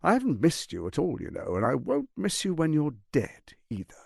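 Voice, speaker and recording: An actor playing a suave, scheming tyrant lord in a fantasy video game, speaking in a pompous, silky, mock-courteous and theatrical manner. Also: male voice, fairly high pitch, huge pitch swings, brisk, creaky, a little mellow, no room sound, studio quality, full character voice. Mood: contemptuous.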